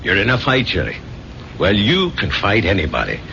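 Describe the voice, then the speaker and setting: A gruff, fatherly old-soldier barkeeper with an American accent. His low, forceful voice leaps up and plunges down as he urges and pleads in 1970s radio-drama style. The recording is dull and hissy. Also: huge pitch swings, normal speed, heavily acted. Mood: urgent, exhorting.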